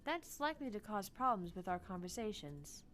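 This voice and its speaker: Composed young scientist woman, lively pitch as read aloud. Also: moderate pace, a little hiss or hum. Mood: composed, analytical. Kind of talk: visual novel read-aloud.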